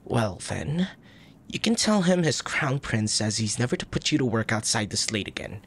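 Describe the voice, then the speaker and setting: A man playing a soft, tender young crown prince in an intimate ASMR roleplay, speaking formally with a gentle, hesitant manner and a troubled air. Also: mid-range voice, sing-song, moderate pace, a little hiss or hum. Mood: indignant. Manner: formal old-fashioned wording, gentle, hesitant.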